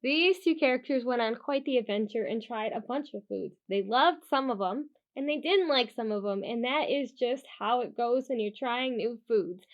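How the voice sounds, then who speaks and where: A woman reading a children's storybook aloud in an expressive, emphatic way, with informal asides. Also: a little high, normal speed, few pauses, muffled recording. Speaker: children's storybook reader and host. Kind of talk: animated children's read-aloud with casual asides.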